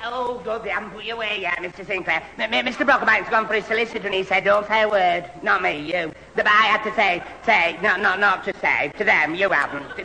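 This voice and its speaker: A man playing a dim, excitable young fellow who stammers and muddles his words in a 1970s radio sitcom. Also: sits high, exaggerated voice. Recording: reverberant, noisy old radio hall recording.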